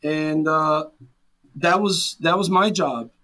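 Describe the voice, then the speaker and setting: A man in his forties with a Serbian accent, his voice sitting a little higher than most men's. He answers interview questions earnestly, often restarting his sentences and padding them with fillers. English is his second language. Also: takes it slowly, bright, forceful tone. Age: 40s. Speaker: guest. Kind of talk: interview conversation.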